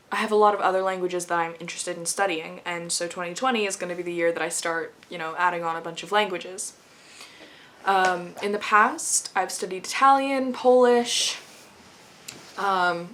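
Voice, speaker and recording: A woman's voice: mid-range voice, fairly even intonation, normal speed, fairly bright tone. A young woman chatting casually to camera, rambling with frequent laughs. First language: English. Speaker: vlogger.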